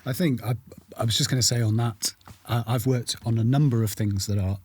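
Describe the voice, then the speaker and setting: A man's voice: mid-range voice, a little fast, husky. A man speaking carefully and appreciatively in a round-table interview.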